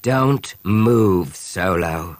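A man voicing a gloating, mad alien high priest villain in an audiobook, with a drawn-out, menacing delivery. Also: lively intonation, no echo, exaggerated voice. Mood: gloating menace.

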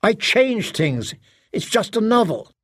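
A man voicing a helpless, defensive middle-aged father who is a writer, speaking haltingly in an audiobook. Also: sits high, big pitch swings, dry recording, clean studio sound, heavily acted. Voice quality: hesitant, halting. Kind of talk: audiobook character acting.

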